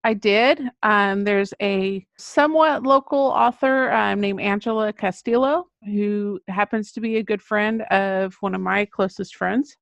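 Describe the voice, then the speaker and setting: Middle-aged American female, author giving an interview, mid-range pitch, very dry sound. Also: unhurried, vocal fry, few pauses, fairly bright tone, no room sound, highs cut off. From Texas, United States. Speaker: children's book author, podcast guest. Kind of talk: interview conversation, explaining her writing.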